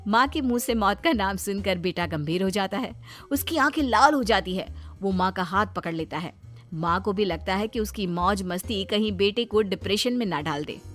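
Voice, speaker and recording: A woman narrating a scripted moral story as an expressive storyteller. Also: mid-range voice, moderate pace, soft background music.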